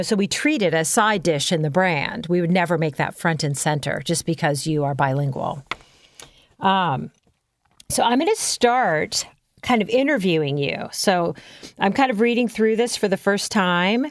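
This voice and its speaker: A woman's voice: fairly low pitch, dry recording, clean studio sound. Chatty woman brand coach. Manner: chatty, frequent ums and you-knows. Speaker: brand consultant. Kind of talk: casual coaching chat.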